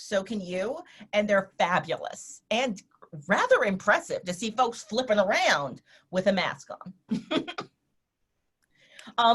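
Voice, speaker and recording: A woman's voice: on the high side, a bit bright. A woman hosting an online gathering, effusive and welcoming as she introduces people and hands over the floor. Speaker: host and facilitator. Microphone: video call.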